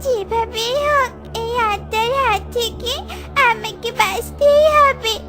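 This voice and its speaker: A woman's voice: very high-pitched, slow. A woman voicing a frightened little girl bird in a children's cartoon, plaintive and pleading. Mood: wary. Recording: music under the voice.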